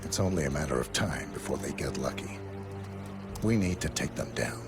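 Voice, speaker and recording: An actor playing a stoic, emotionless assassin who speaks in terse, flat, clipped lines. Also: male voice, a bass voice, normal speed, loud music behind the voice. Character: stoic emotionless male assassin, middle-aged. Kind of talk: video game cutscene acting. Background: game score.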